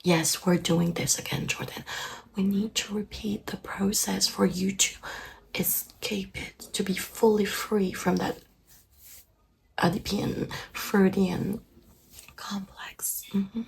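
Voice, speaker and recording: A woman playing a calm, soft-spoken therapist in a deadpan ASMR meditation roleplay, hushed and breathy, often dropping into a whisper. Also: mid-range voice, rough recording. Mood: calm, hypnotic. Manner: slow, soothing, hushed, deadpan. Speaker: ASMR performer.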